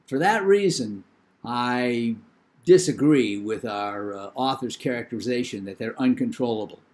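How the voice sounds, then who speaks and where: Older guy w/ new england accent lecturing, measured and opinionated, lots of uhs. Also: medium pitch, expressive, darkish tone. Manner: measured, opinionated, with thinking pauses and fillers. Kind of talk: recorded lecture.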